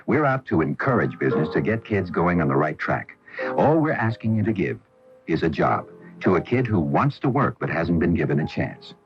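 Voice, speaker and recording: Very low male voice, expressive, scripted announcer, muffled broadcast, music behind. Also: moderate pace. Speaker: advert announcer. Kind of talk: scripted public service announcement.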